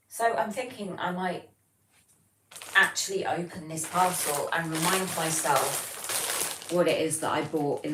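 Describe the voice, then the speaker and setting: A woman's voice: low voice, a bit bright. A British woman hosting a livestream, chatting casually and informally and reading out comments from viewers. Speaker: livestream host. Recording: roomy, distant mic, noticeable background noise.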